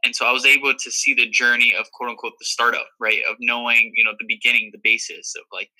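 A man's voice: medium pitch, little pitch movement, quick pace, bright, forceful tone, low-quality recording. A young man from Miami, a guest in an interview, talking earnestly and reflectively with many fillers. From Miami, Florida. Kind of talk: casual interview talk.

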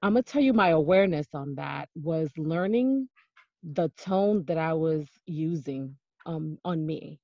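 Low gravelly woman, dull dry sound. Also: expressive, measured pace, warm tone, no echo. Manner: earnest, affirming, laughs along. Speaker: guest. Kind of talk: casual conversational interview.

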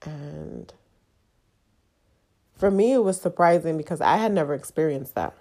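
Congested low-voiced woman podcast host. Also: lively intonation, mellow. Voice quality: nasal. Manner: halting, confessional, with frequent fillers. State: congested from a long cold. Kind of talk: candid personal monologue.

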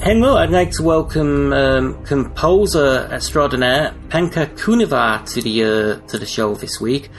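A man hosting a casual interview show, talking haltingly and often repeating his words as he goes. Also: mid-range voice, expressive, soft background music. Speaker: podcast host and interviewer.

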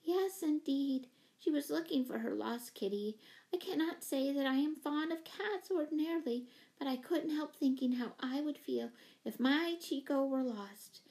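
A woman's voice: sits high, moderate pace, darkish tone, voice pushed up, rough recording. A woman voicing a fluttery, anxious, apologetic older spinster in a children's storybook, gushing and flustered. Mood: grateful, earnest.

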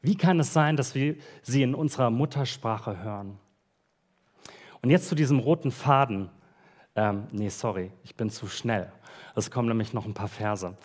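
A man delivering a sermon at a church service, talking in an easygoing, conversational way and dropping casual slang between the Bible readings. His voice sits at an ordinary middle pitch. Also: moderate pace, a little mellow. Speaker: pastor.

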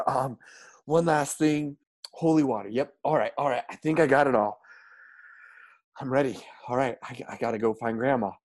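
A man plays a jumpy young man who stammers and restarts when flustered. His voice sits in a middle pitch and jumps up when he panics. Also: darkish tone, close to an everyday voice. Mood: fretting. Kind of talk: improvised audio comedy.

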